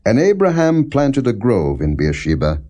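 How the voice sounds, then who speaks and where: A man reading scripture as solemn, non-dramatized audiobook narration, in a measured, formal manner with deliberate phrasing. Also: medium pitch, wildly swooping pitch, dark tone. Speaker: scripture reader.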